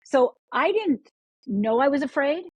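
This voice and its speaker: A woman's voice: sits high, very animated, measured pace, dry recording. A woman from Upper Michigan, a podcast guest, talking with energy and often repeating words as she restarts sentences. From Upper Michigan, USA. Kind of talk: podcast interview.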